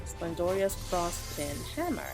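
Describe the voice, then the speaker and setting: A woman's voice: fairly low pitch, sing-song, measured pace. A woman game streamer reacting casually and gushingly to a game, sometimes reading its text aloud. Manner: gushing, sentimental. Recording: game music behind the voice. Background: video game music.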